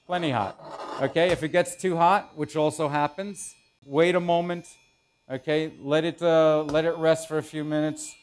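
A man in his forties, a chef instructor, explaining each step in a relaxed way as he cooks in a large teaching kitchen. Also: mid-range voice. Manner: relaxed, explanatory, thinking aloud while working. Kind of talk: cooking demonstration. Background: food sizzling in a pan.

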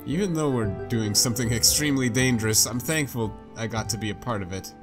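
Earnest young game soldier, read by a man, plain and sincere, mid pitch. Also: a little fast, natural voice. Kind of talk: reading visual novel dialogue aloud. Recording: game music behind.

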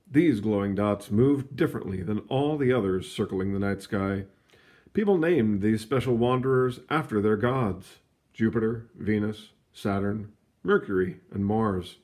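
A man reading a children's science book aloud in an expressive storytelling manner, stretching words for emphasis, with casual asides. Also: on the low side. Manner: animated, storytelling delivery with drawn-out emphasis. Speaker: read-aloud reader.

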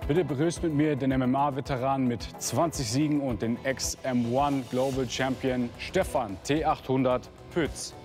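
A man's voice: mid-range voice, steady tone, a little slow, hardly any pauses, a little mellow, soft background music. A man hosting a show, formally introducing the coaches. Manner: formal announcing. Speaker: host.

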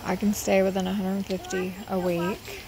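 A woman's voice: mid-range voice, little pitch movement, vocal fry, background noise. A middle-aged woman, chatting through a casual voice-over about her weekly shopping. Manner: chatty, slow, bargain-focused, says y'all. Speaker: frugal living vlogger.

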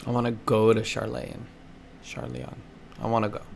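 A man's voice: on the low side, steady tone, moderate pace, gravelly, darkish tone. A man casually and playfully reacting to game cutscenes as a streamer, indoors at a desk microphone. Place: indoor room at a computer. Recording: faint background game noise.

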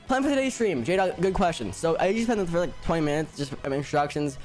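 A man's voice: on the high side, big pitch swings, fast, darkish tone. A young man live-streaming a game and chatting with viewers casually, stuttering often and repeating words. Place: home room, indoors. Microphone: headset. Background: music playing.

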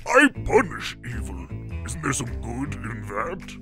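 A man's voice: medium pitch, big pitch swings, slow, over music. A man voicing a defensive, theatrical supernatural villain in a cartoon comic dub, dramatic and self-justifying.

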